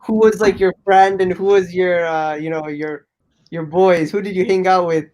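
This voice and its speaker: A man's voice: sits high, fairly even intonation, moderate pace, darkish tone. A man co-hosting a casual video call, asking friendly questions with lots of fillers. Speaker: co-host.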